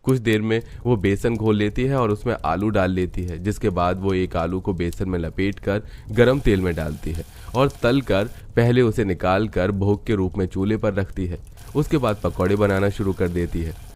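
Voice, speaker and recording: A man narrating a cartoon story in a steady, scripted storytelling manner. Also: low-pitched, normal speed.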